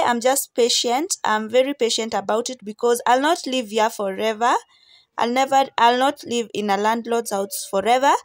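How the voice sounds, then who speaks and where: Casting: female vlogger, higher-pitched voice, earnest and appreciative, casual voice-over, dry close sound. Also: dry recording. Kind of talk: casual voice-over talk to viewers.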